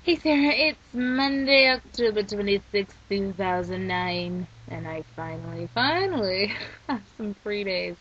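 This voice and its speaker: Young woman, casual video diary, worn-out voice, rambling chatter, medium pitch, muffled webcam sound. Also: lively intonation, a little slow, music in the background. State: losing her voice. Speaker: vlogger. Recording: slightly dull lo-fi recording.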